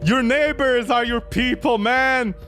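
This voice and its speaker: A man's voice: extremely high pitch, slow, a bit bright, faint music behind. A man giving sarcastic, incredulous playthrough commentary on a game, casually swearing as he reacts. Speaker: gaming video host.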